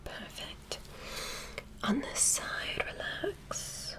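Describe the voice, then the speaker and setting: A woman voicing a curious, polite female alien doctor who examines a human, speaking in a soft, slow, breathy whisper with gentle instructions, close to the mic. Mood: curious, soothing. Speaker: ASMR creator. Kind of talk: ASMR medical roleplay.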